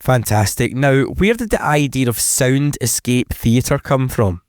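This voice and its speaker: Upbeat radio host. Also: male voice, mid-range voice, animated, darkish tone, dry recording, studio quality. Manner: upbeat and encouraging, with a quick stock of praise. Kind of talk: local radio interview.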